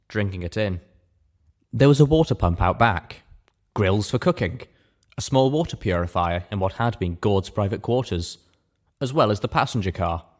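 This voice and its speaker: A man reading first-person fiction aloud as an audiobook narrator. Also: low voice.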